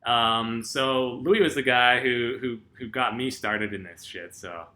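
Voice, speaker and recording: This is a man chatting through viewer questions in a relaxed vlog. His voice is strikingly bright and cutting at an ordinary pitch. He wanders through his answers with frequent fillers and an easy, self-deprecating manner. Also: measured pace. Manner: rambling, lots of ums and uhs; self-deprecating and laid-back. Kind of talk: casual Q&A vlog talk.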